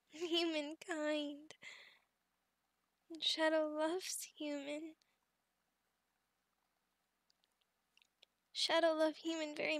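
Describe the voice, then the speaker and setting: A woman voicing a sweet, childlike, devoted adult female goblin in an ASMR roleplay, speaking in halting, excitable broken third-person sentences. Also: very high voice, no echo, clean studio sound, full character voice. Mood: tender, bashful.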